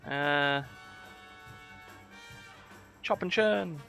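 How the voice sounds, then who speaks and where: A man's voice: fairly high pitch, very slow, vocal fry, sharp tone. A man giving live video game commentary, full of playful exclamations and muttered asides. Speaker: game streamer. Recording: game music behind the voice. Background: video game music.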